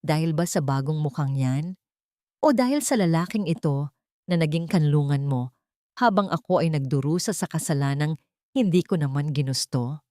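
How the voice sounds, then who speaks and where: Woman voicing a sneering, treacherous husband, low-pitched. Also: normal speed, dark tone, no room sound, clean studio sound, close to an everyday voice. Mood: sneering menace. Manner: taunting, dramatic. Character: menacing, sneering man, treacherous scheming husband.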